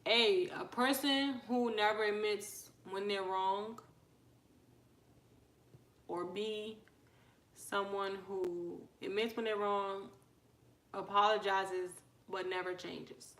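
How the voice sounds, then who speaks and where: A woman's voice: mid-range voice, steady tone, takes it slowly, pauses often, echoey room. A woman hosting a casual livestream, chatting with viewers, reading their comments and welcoming newcomers. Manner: chatty, asks viewers questions, shouts out newcomers. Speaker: livestream host.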